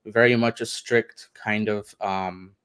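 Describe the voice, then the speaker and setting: A man from India, a guest on a video call interview from home, speaking in a relaxed, thoughtful way with lots of hedges. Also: on the low side, slow, clear, resonant tone. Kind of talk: casual interview.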